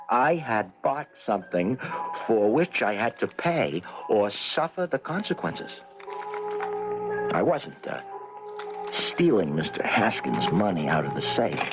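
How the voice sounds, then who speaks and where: Low-voiced, flustered radio husband. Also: male voice. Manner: stammering, hesitant. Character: nervous, henpecked middle-aged husband, flustered and hapless. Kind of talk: old-time radio comedy drama acting, narrating and in scene. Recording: dull old radio recording, music behind.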